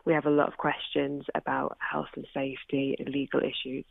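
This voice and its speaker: A British woman explaining as an interviewee on radio, measured and hedging in her manner, over a phone-like line. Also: rumbling low, completely flat delivery, vocal fry, husky, no room sound. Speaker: spokesperson for an environmental charity, interviewee.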